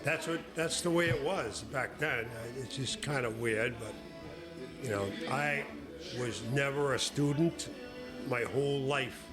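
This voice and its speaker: A man, a podcast guest telling casual stories in a blunt, profane way with halting restarts. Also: mid-range voice. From Boston, Massachusetts. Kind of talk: casual storytelling. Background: music.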